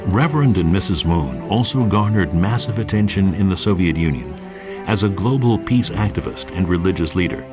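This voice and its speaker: A man's voice: low-pitched, expressive, fairly quick, over loud music. A man reading scripted narration for a documentary.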